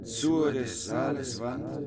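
A man reciting Middle High German verse in a rhythmic, chanting manner. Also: expressive, slow pace, over a noisy crowd. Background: loud music.

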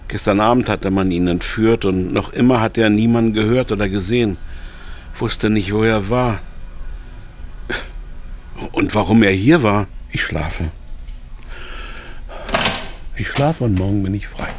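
A man's voice: fairly low pitch, a little slow, long pauses, natural voice. A man playing a frightened kidnapped businessman in a radio drama, telling his thoughts in terse fragments. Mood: anxious muttering. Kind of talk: radio drama inner monologue and narration. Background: music.

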